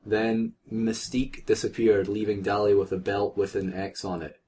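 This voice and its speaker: Man, lowish voice, mock-dramatic comic story reader given to asides, slight background noise. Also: level tone, normal speed, warm tone. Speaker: co-host reading fan fiction aloud. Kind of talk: comic read-aloud with joking asides.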